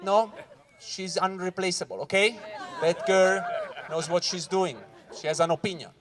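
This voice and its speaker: A Swiss man from Ticino, an English speaker, doing a loud, animated stand-up set at a corporate event and talking straight to the crowd. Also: high voice, moderate pace, noisy background. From Switzerland (Ticino). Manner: loud, animated crowd work, keeps saying "guys". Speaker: stand-up comedian. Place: corporate event hall with live audience.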